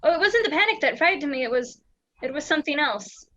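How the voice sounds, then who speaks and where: A woman's voice: high voice, animated, fast, bright, forceful tone. A young woman playing a frightened, secretive spy in an amateur radio play, speaking urgently and dramatically. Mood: anxious, pleading. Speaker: student actor in a school radio play. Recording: dull lo-fi home recording.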